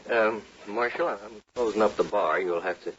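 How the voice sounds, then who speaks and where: An actor playing an easygoing, slightly flustered saloon keeper in an old-time radio western. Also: male voice, on the low side, normal speed, a bit bright, lightly acted. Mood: amiable, flustered. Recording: noisy muffled old radio recording.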